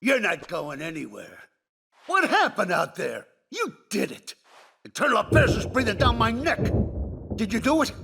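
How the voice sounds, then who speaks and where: An actor playing an angry, accusing police superior under pressure, confrontational and forceful in a video game. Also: male voice, high-pitched, huge pitch swings, moderate pace, vocal fry, breathy edge, full character voice. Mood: furious, accusing.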